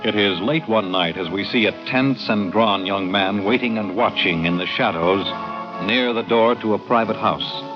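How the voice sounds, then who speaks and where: Music plays clearly behind a dull vintage radio recording. An American man announces in a fairly low, measured, dramatic voice, narrating a crime serial. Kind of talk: old-time radio scripted narration.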